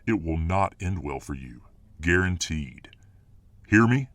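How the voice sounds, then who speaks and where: A man's voice: deep voice, lively intonation, measured pace. A man playing a dominant, boastful, hot-tempered muscular fireman boyfriend in an ASMR roleplay audio drama. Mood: threatening. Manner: boastful, cocky swagger.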